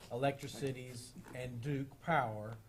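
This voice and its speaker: A man's voice: medium pitch, fairly even intonation, a little slow, a little hiss or hum. A man with a sore throat and a slightly froggy voice, explaining a contract as attorney at a public meeting, carefully and with many fillers. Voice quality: a little froggy. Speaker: town attorney.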